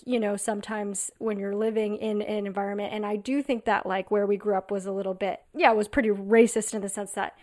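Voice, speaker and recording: A woman, a comedian, musing thoughtfully in a casual panel conversation, quick and full of fillers. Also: mid-range voice.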